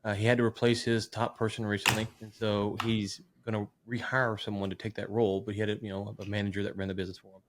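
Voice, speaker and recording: A man's voice: fairly low pitch, flat delivery, quick pace, a little hiss or hum. A man in his late thirties talking conversationally as a business podcast host, animatedly acting out what other people say. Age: late 30s.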